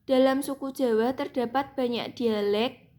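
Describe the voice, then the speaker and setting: A young woman reads her seminar paper aloud in a fairly high voice. She keeps a steady, even reading pace and now and then restarts a word. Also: unhurried. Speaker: student presenter.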